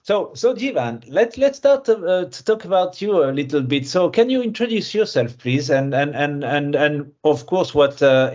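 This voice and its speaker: A man's voice: medium pitch, a little mellow. A man hosting an interview podcast, friendly and hesitant, often restarting his sentences. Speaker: podcast host. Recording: muffled lo-fi audio.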